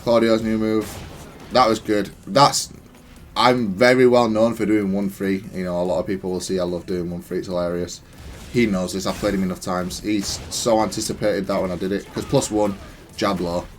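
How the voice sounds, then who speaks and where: A man's voice: low-pitched, flat delivery, normal speed, slight background noise. A man giving matter-of-fact, analytical commentary on gameplay, thinking aloud in a stop-start way. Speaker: gamer explaining own match replay.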